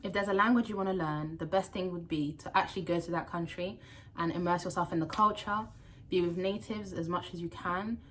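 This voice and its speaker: Young woman, London accent, French native speaker, chatty animated vlog tips, slightly low voice. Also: brisk, slight background noise. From France. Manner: chatty and animated, with playful asides. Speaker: language vlogger. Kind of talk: casual tips to camera.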